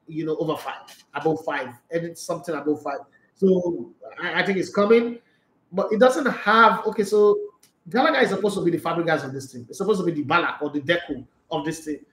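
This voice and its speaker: Male sports show host in his forties, animated and rambling, slightly high voice, echoey small studio. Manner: animated, rambling, gestures a lot. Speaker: sports show host answering viewer comments. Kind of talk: casual football commentary chat. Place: small indoor studio room.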